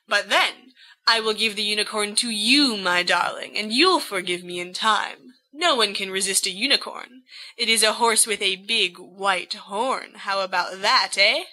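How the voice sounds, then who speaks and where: A woman's voice: a little high, sharp tone, rough recording. A woman playing a flamboyant, lovesick young man, theatrically reading out a cheeky, gushing love letter.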